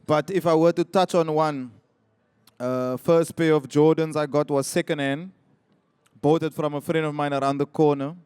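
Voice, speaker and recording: A man from South Africa speaks as a guest on a live panel, his voice sitting high for a man. He tells a story with wry, animated emphasis, pushing up in pitch when he lands a point. The sound is dry and close, with no room around it. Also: moderate pace, smooth, no echo.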